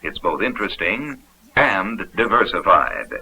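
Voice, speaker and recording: A man's voice: low-pitched, expressive, a little slow, pushed and bright. An American man reading scripted narration for a 1970s instructional film in a folksy, upbeat, explanatory manner. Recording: dull, noisy vintage 16mm film soundtrack.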